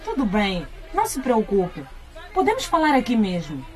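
A woman's voice: medium pitch, huge pitch swings, normal speed, a faint crowd. A woman playing a polite, well-off lady in a radio drama, patient and coaxing in manner. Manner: courteous, coaxing.

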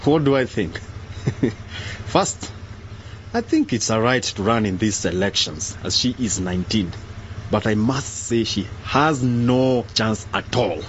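A man's voice: on the low side, lively intonation, slow pace. A man playing a condescending, pompous member of parliament in a radio drama, dismissive and scornful in manner. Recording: muffled, faint vehicle noise behind.

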